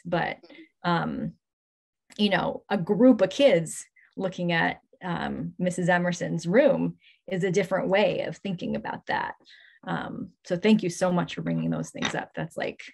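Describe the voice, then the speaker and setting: Gravelly-voiced woman curator moderating. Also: lowish voice. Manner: engaged, grateful, frequent ums. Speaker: curator leading discussion. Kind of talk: moderating a public talk.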